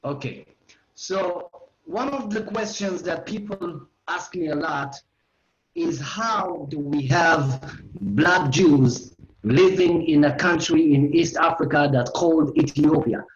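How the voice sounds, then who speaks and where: High-voiced Israeli man lecturing online. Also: takes it slowly, a little hiss or hum. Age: 40s. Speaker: educational tour guide giving a lecture. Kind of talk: online lecture, oral history. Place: living room at home. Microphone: video call. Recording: roomy, slightly dull video-call audio.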